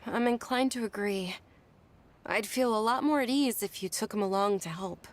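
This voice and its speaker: A woman's voice: mid-range voice, normal speed, slight background noise, lightly acted. A woman playing a polite, caring and reserved young noblewoman in a video game. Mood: concerned.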